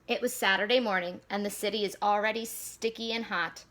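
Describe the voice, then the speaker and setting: A woman reading a children's storybook aloud in an animated, deliberate storyteller delivery. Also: medium pitch. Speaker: school staff member reading aloud.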